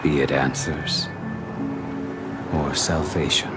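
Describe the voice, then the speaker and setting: An actor playing a grave, enigmatic man in a video game, speaking formally and deliberately with calm authority. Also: extremely low pitch, over loud music. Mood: calm, cryptic. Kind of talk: dramatic video game voice acting.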